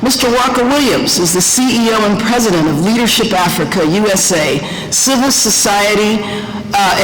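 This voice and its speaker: A woman's voice: fairly low pitch, background noise. A woman hosting a policy forum, reading out panelist introductions with frequent hesitation fillers. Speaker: forum host and moderator. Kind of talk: formal panel moderation. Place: conference room. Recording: echoey conference room.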